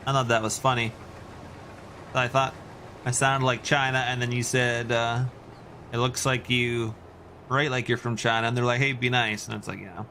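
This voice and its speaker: A man's voice: medium pitch, flat delivery, normal speed, pauses often, sharp tone, slight background noise. A Canadian man chatting casually and in a rambling way as he hosts a video game livestream and responds to his chat. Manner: rambling, reacting to chat messages.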